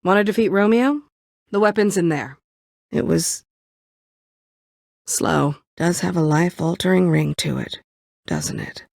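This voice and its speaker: A woman's voice: sits low, creaky, darkish tone, dry recording, heavily acted. A woman playing a bitter, guarded former admin and ex-prisoner in a video game, curt and dryly sarcastic. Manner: curt, dry sarcasm, brooding.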